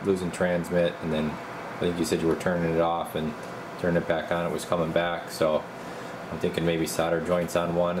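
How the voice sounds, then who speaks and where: A man's voice: low voice, noisy background. A man working as a radio repair technician, casually thinking aloud through a hands-on bench demonstration in informal, muttered steps. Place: indoor electronics repair workbench.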